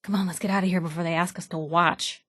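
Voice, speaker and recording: A woman's voice: lowish voice, fairly even intonation, fast, breathy edge, dry recording, studio quality. An actress playing a sardonic, plucky young woman reporter in a video game, with wry, conversational quips. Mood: wry, playful. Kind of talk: video game companion voice acting.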